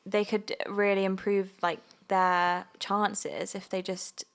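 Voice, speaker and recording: A woman's voice: medium pitch, level tone, slow pace, vocal fry, clear, resonant tone. A young woman with a southern English accent, co-hosting a casual sports podcast in a playful, joking way. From South of England. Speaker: podcast co-host.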